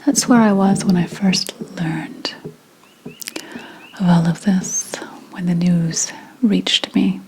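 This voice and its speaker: A woman playing a reincarnated seventeenth-century witch in an ASMR roleplay, telling her story in a hushed, deliberate, mournful whisper. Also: on the low side, fairly even intonation, a little hiss or hum. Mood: confiding.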